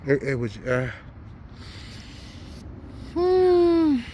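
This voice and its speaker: A man's voice: medium pitch, animated, measured pace, dull audio, a little hiss or hum. A man from Haiti, not a native English speaker, giving an unscripted, preaching monologue in blunt, profane slang with emphatic repetition. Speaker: spiritual commentator.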